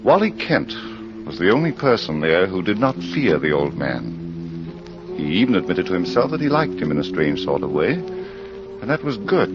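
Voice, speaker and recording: An actor playing an ominous crime club librarian who narrates in a theatrical, suspenseful 1940s radio mystery style. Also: male voice, deep voice. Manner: theatrical, suspenseful, deliberate phrasing. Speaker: host and narrator. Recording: muffled, very noisy old radio recording.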